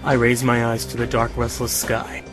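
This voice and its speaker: A grim young man acted by a male performer narrates a visual novel in measured, brooding tones. His pitch stays even and restrained, and loud music plays behind him. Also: natural voice. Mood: somber, brooding. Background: loud background music.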